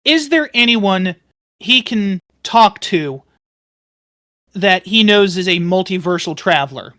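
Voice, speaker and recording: A woman playing a tabletop game, talking casually and haltingly as she describes her character and repeats phrases. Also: medium pitch, lively intonation, a bit bright. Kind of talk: casual roleplay table talk.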